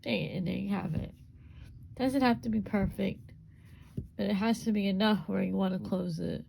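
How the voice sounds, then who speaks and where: A woman with a gravelly, hoarse voice demonstrates a small craft step by step. Her talk is relaxed and unscripted, with plenty of repeats as she works. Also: mid-range voice, normal speed, a little hiss or hum, poor audio. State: voice going hoarse. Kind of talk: casual hands-on craft demonstration.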